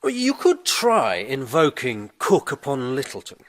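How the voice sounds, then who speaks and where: An actor in a radio drama playing a sardonic, inquisitive, educated gentleman novelist and lawyer. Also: medium pitch, huge pitch swings, unhurried, no room sound, clean studio sound. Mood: learned, reserved.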